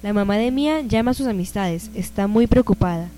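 A woman's voice: mid-range voice, a little fast. A woman reading scripted narration for a radio drama. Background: soft music.

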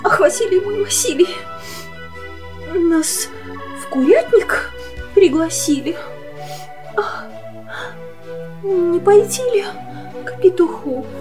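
A woman's voice: extremely high pitch, lively intonation, takes it slowly, long pauses, over music, full character voice. A woman playing a genteel, homeless cat who pleads and coaxes theatrically in a children's verse audio play. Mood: coaxing. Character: genteel, homeless female cat, plaintive and coaxing.

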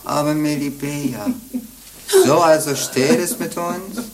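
An older actress playing a sly, jovial old bawd in a radio play, teasing and wheedling in a theatrical way. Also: female voice, low voice, fairly even intonation, takes it slowly, a loud crowd, low-quality recording. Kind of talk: German radio play acting.

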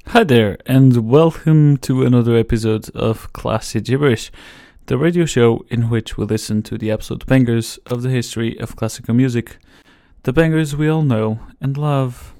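A man's voice: mid-range voice, moderate pace, no room sound, clean studio sound. A man whose first language is Portuguese, hosting a casual radio show and rambling hesitantly, often repeating words. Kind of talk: casual commentary over music. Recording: loud music playing under voice. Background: classical viola and piano music.

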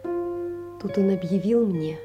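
An actress playing a poor, earnest young woman confiding in a dear friend, in a measured audio-play monologue. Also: medium pitch, muffled recording, over music, lightly acted. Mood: grave, measured. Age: young adult.

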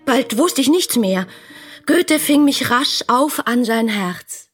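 An actress playing an ardent, dreamy young woman in a German radio play, effusive and lyrical in a breathy voice. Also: a little high, animated, takes it slowly, fairly bright tone. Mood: awed, tender. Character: ardent, dreamy young woman writing rapturous letters about her idol. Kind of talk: German radio play, literary letter monologue.

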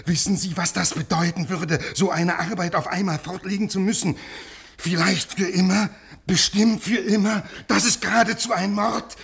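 An actor playing a desperate, aging scholar in a 1950s German radio play, husky and overwrought, pleading and repeating himself. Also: male voice, high voice, steady tone, moderate pace, a bit bright. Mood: bitter, urgent.

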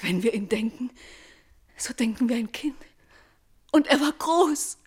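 An actress playing an anxious, soft-hearted young princess in grief, plaintive and emotional in a classical radio tragedy. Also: female voice, on the high side, expressive, a little slow, breathy edge. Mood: grieving.

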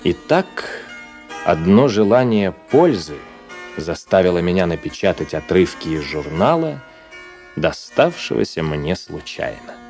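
A reflective literary gentleman in a radio play. His mid-pitched voice is mellow and swings expressively through measured phrases, with faint music underneath. There is a quiet irony in his delivery. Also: sing-song, full character voice. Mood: earnest, reflective. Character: male narrator-editor publishing a dead officer's journal, reflective, ironic.

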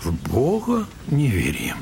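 A slow, gravelly old monastery elder. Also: male voice, sits low, wildly swooping pitch, a little hiss or hum, heavily acted. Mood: tender, consoling. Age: older. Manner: measured, soft, consoling. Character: gentle, ailing old monastery elder, wise and humble. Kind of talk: Russian audio drama acting.

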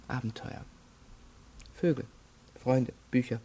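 An actor playing an arrogant, evasive rich young male student under questioning in a radio crime drama, cool and disdainful, with a rough voice. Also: medium pitch, slow, mellow, slight background noise, low-quality recording. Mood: aloof. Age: young adult.

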